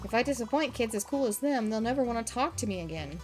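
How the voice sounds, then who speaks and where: An anxious young duck kid squawks and frets, as a woman puts him on. He sits high in pitch, defensive and worried, over faint game music. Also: lively intonation, fast talker, darkish tone, natural voice.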